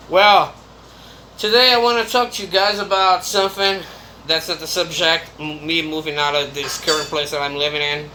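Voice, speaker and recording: A Brazilian man speaking English with a Brazilian Portuguese accent, talking slowly and rambling casually to his viewers. His voice is strikingly bright and fairly high, with a faint hiss of noise behind him. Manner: rambling, chatty, with restarts and laughs. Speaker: vlogger. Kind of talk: casual monologue to camera.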